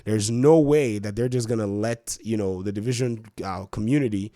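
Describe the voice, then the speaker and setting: A man's voice: on the low side, normal speed, mellow, no echo, studio quality. A man hosting a gaming channel, giving casual opinionated commentary in a boxy, stuffy voice, congested from allergies.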